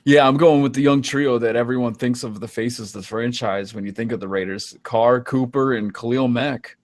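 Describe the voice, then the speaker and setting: A man's voice: medium pitch, fairly even intonation, moderate pace. A man co-hosting a sports talk show in an easygoing, teasing way. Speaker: podcast co-host. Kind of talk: casual sports banter.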